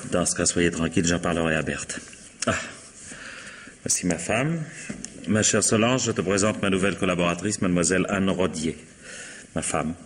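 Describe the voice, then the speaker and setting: An actor playing a courteous, quietly scheming bourgeois husband and employer in a French radio drama, formal and suave. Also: male voice, low voice, moderate pace, pauses often, slight background noise, natural voice. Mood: polite.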